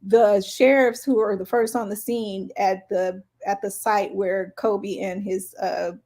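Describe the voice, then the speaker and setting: A woman's voice: medium pitch, fairly even intonation, a little slow, dark tone. An American woman co-hosting a casual podcast, talking in an opinionated, outspoken way. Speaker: podcast co-host.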